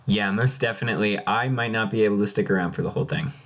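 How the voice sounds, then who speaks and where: A man with a fairly low voice gives live commentary on a card game match. He talks casually, like a co-caster following a tournament game as it is played. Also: racing, fairly bright tone.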